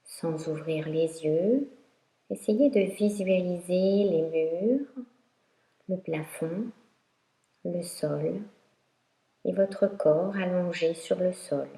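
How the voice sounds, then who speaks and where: A woman teaching yoga nidra, guiding a meditation in a calm, instructional way with long pauses. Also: lowish voice, creaky. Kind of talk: guided meditation narration. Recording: roomy echo.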